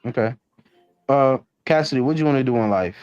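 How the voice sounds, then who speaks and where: A man's voice: medium pitch, fast talker, smooth, no room sound, muffled recording, soft background music. A man hosting a casual group debate, pushy and hurrying others to answer. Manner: pushy, hurries others along. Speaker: discussion host asking questions.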